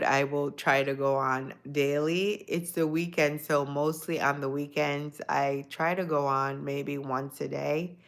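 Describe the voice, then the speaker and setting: Low-voiced woman chatting on a livestream. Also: a bit bright. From Jamaican descent, lives in the US. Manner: reflective, talks to chat viewers by name, repeats phrases. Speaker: livestream host. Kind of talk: casual live chat with viewers.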